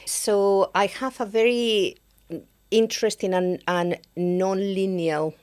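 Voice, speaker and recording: A middle-aged woman from Spain with a Spanish accent, a company CEO speaking as an interview guest, measured and often restarting her phrases. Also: mid-range voice, steady tone, darkish tone, clear, resonant tone. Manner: measured, with frequent restarts and repeated words. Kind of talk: conversational interview.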